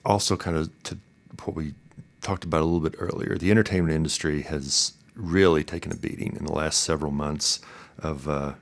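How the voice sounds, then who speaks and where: A man with a deep, low voice hosts an interview podcast. He speaks conversationally and a little haltingly, circling through his questions as he asks them. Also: steady tone.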